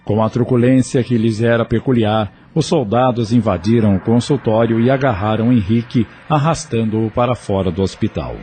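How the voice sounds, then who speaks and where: A man narrating an audio drama in a measured storytelling manner. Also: lowish voice, warm tone, a little hiss or hum.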